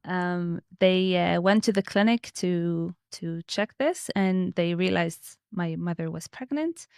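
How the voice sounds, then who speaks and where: A woman's voice: on the low side, takes it slowly, vocal fry, a little mellow, smooth, dry recording, clean studio sound. A woman in her thirties speaking conversationally as an interview guest, telling personal stories and explaining her views. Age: 30s.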